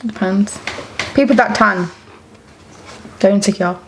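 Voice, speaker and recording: A woman's voice: mid-range voice, a little slow, poor audio. A woman in a group discussion, assertive and repeating phrases for emphasis. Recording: faint background noise.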